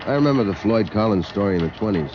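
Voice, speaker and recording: An actor playing a seasoned, middle-aged American wire editor in a 1950s radio drama, talking in a casual, rambling newsroom way. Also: male voice, fairly low pitch, expressive, fast talker, close to an everyday voice. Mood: breezy, reminiscing. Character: seasoned, breezy, talkative newspaper wire editor. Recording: noisy, dull vintage radio recording.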